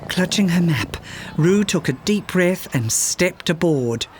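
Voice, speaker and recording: A man reading a children's fairytale aloud as a storyteller, in expressive scripted narration. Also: high-pitched, slow pace, slight background noise. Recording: music behind the voice. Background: music.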